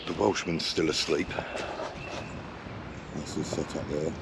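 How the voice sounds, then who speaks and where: A man's voice: extremely low pitch, fairly even intonation, a little slow, creaky, very noisy. A middle-aged man, hungover and groggy, chatting jokily to camera at a woodland camp. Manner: chatty, jokey, self-mocking. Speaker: vlogger. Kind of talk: casual camping vlog to camera.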